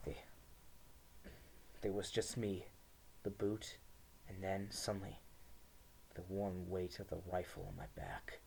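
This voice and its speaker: Young guy doing a dramatic story reading, lowish voice, somber, trails off quiet. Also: very animated, takes it slowly, vocal fry, pauses often, slight background noise, rough recording. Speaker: student reader. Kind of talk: dramatic reading of first-person fiction.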